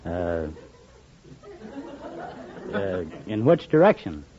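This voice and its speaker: A man's voice: low-pitched, big pitch swings, extremely slow, over a noisy crowd. A man, a contestant on a 1950s radio show, answering literally in a hesitant, matter-of-fact way. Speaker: train conductor, quiz show contestant. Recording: muffled 1950 radio recording, faint noise.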